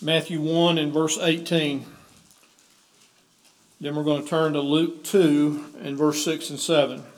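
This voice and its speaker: A man's voice: a little high, level tone, measured pace, pauses often. A man, the pastor, leading a church service and reading scripture aloud in a church sanctuary. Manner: leads a hymn, then reads scripture aloud. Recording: roomy church echo with organ music.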